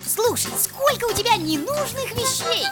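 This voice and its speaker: A woman voicing a restless, cheerful young cartoon creature who asks excited questions. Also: very high-pitched, normal speed, over loud music. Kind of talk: cartoon voice acting.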